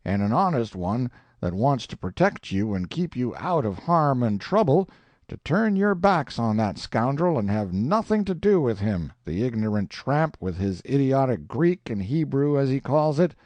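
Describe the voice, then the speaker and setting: A man voicing a stern older town doctor who speaks forcefully and indignantly. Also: medium pitch, big pitch swings, moderate pace, dry recording, close to an everyday voice. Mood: pleading.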